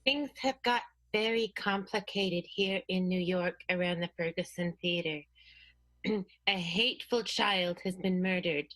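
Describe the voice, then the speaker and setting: A woman's voice: on the low side, unhurried, a bit bright, dry recording, rough recording. A woman acting a self-absorbed, imperious movie star in an improvised radio soap, with grand, formal diction. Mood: haughty.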